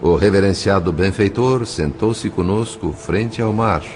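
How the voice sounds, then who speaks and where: Earnest spirit narrator in a brazilian audio drama, really low voice, formal n reverent, bit of noise. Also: male voice. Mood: reflective, reverent. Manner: formal, literary, measured.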